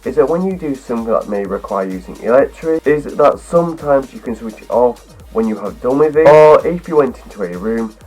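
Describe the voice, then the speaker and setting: A man's voice: medium pitch, expressive, fairly quick, few pauses, darkish tone, breathy edge, soft background music. A man with a cold, talking through a rambling explanation as a video host, stringing clause after clause together. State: has a cold, voice deeper than usual. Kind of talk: scripted talk to camera.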